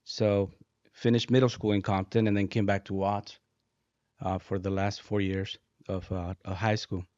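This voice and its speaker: Soft-spoken low-voiced man from Mexico. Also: flat delivery, moderate pace, no echo. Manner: soft-spoken, hesitant, many fillers. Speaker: guest, head engineer. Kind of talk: casual interview talk. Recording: dull dry podcast audio.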